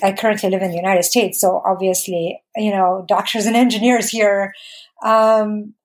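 A woman's voice: mid-range voice, flat delivery, normal speed. A woman, recalling her life conversationally as an interview guest, in a hesitant, reflective way. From Bulgaria. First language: Bulgarian. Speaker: interview guest, documentary filmmaker. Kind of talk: conversational personal recollection.

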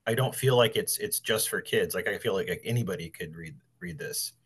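A man's voice: low voice, fairly quick, fairly bright tone, slightly rough. A man in his sixties hosting a podcast and talking about books. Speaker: podcast host and librarian. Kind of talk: podcast talk, introducing and interviewing an author.

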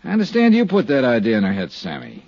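A hard-boiled American investigator, shrewd and needling. His medium-pitched voice rises and falls sharply with dry wit. It sounds like a dull old radio drama recording. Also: wildly swooping pitch, fast talker, dry recording. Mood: wry, needling. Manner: wry, needling, probing. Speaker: lead detective and narrator. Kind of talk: 1950s radio drama acting with first-person narration.

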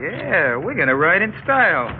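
Cocky, swooping young gang leader. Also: male voice, high voice, big pitch swings, moderate pace. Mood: taunting. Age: young adult. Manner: taunting, mock-polite, slangy. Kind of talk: 1960s radio drama acting. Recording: muffled, noisy old radio recording.

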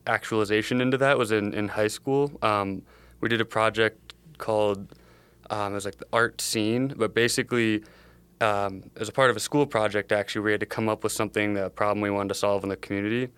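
A young man from the Chicago area speaks in a low, level voice, strikingly clean and resonant, recorded close and dry. He answers like a startup founder in a casual interview, thinking aloud in a meandering way. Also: steady tone, brisk, a bit bright, smooth, dry recording. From Chicago area, USA. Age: young adult. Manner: thoughtful, meandering phrasing.

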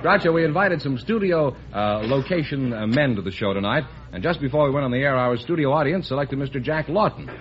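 Man, show announcer, animated pitch, old broadcast, audience noise. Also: fairly quick, hardly any pauses, lo-fi sound, some chatter behind.

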